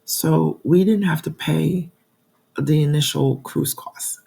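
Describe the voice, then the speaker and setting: A woman in her forties, hoarse from a cold, chatting to viewers about travel costs in a casual vlog with frequent ums. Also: low-pitched, slow pace, mellow, poor audio. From New York. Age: 40s. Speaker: budgeting channel host. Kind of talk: casual talking-to-camera vlog.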